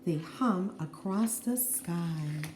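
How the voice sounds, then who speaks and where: A woman teacher reading a children's picture book aloud in an expressive, deliberate way, with explanatory asides to young listeners. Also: fairly low pitch. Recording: roomy echo, faint background noise.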